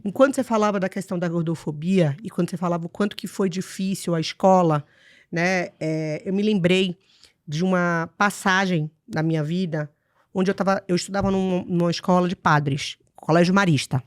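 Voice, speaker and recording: A woman's voice: fairly low pitch, fairly even intonation, moderate pace, dry recording, clean studio sound. Reflective woman in her forties from Bahia. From Salvador, Bahia, Brazil. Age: 40s. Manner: earnest, reflective storytelling with frequent "né?" tags. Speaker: podcast host. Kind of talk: conversational podcast interview.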